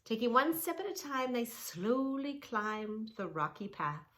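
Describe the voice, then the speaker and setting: A woman reading a children's book aloud and gently guiding kids through yoga poses, soothing and instructive. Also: a little high, very animated, measured pace. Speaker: kids yoga teacher and reader.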